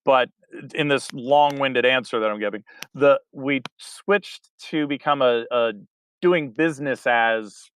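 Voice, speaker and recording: A middle-aged man giving a rambling, halting interview answer, full of fillers and restarts. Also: medium pitch, a bit bright, clean tone, rough recording. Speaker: interview guest, theater director. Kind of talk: casual interview talk.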